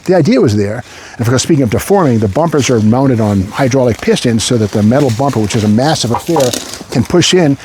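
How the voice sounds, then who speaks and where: A man's voice: mid-range voice, animated, quick pace, darkish tone, a little hiss or hum. A man hosting an unscripted walkaround car commentary in a rambling, repetitive way with wry asides. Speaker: car show host.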